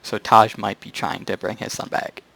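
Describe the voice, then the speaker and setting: A man's voice: lowish voice, level tone, normal speed, vocal fry, a bit bright, no echo. A man giving casual, offhand and somewhat hesitant commentary while playing a video game. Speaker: gamer commenting on playthrough.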